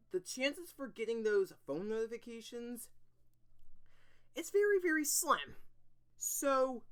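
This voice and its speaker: A woman hosting a video, making an earnest, emphatic appeal to viewers in a halting delivery. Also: on the low side, sharp tone. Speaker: video host.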